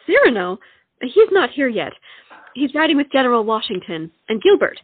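A woman's voice: a little high, very animated, normal speed, slightly rough. A woman playing an earnest, romantic young lady who presses her point insistently in a stage comedy. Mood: coaxing. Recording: tinny, narrow-band sound.

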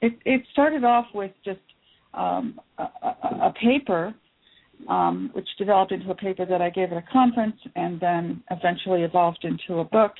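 A middle-aged woman psychologist who grew up in Taiwan, answering interview questions thoughtfully with many pauses and fillers. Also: on the low side, expressive. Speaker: psychologist guest. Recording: tinny phone line.

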